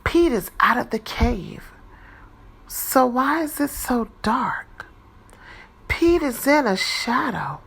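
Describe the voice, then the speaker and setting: Slow, animated woman reading a storybook. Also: a little high, vocal fry, breathy edge. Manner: deliberate, animated, dramatizing for young children. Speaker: teacher reading a picture book aloud. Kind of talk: expressive children's storybook read-aloud.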